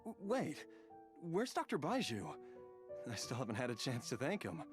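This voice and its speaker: A convalescing middle-aged dad in a video game. He is mild and hesitant, with a medium voice that rises and falls expressively over clear music. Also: mid-range voice, huge pitch swings, moderate pace. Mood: grateful, puzzled. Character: grateful middle-aged father recovering from grave illness.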